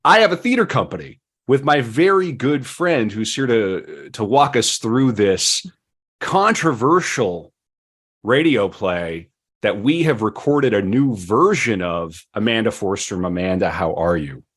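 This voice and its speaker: A man's voice: lowish voice, very animated, a little slow, a bit bright, husky. A man hosting a podcast in casual, playful banter with a jokey, theatrical way of talking. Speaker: podcast host.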